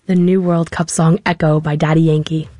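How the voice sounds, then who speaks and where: Young American woman, low-pitched, dry sound. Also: level tone, normal speed, mellow, dry recording. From Massachusetts, United States. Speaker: interview guest, stadium public address announcer. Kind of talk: conversational interview answers. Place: radio studio. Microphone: close studio microphone.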